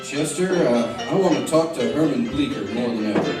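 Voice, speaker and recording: A far-off, cavernous recording with music under it. A man plays an understated frontier marshal in a radio western, courteous and steady, with hesitant phrasing. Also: medium pitch, normal speed, close to an everyday voice. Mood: calm. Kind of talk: old-time radio western acting. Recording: distant, very echoey stage recording.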